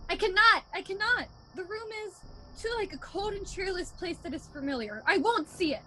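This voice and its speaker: A woman's voice: very high-pitched, lively intonation, normal speed, sharp tone, slight background noise, exaggerated voice. A woman playing a frightened miser in a radio play, pleading dramatically and emphatically. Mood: distraught, indignant. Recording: home video call recording with music.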